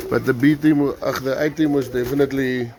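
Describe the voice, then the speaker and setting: A South African man, an Afrikaans native speaker, narrates casually in a mid-range voice. He explains as he goes, unscripted, like someone showing his birds on a vlog. There is clear noise behind him, with pigeons cooing. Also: medium pitch, fairly even intonation, brisk. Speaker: pigeon keeper presenting his own video. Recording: noisy, birds cooing nearby. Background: pigeons cooing, clear background noise.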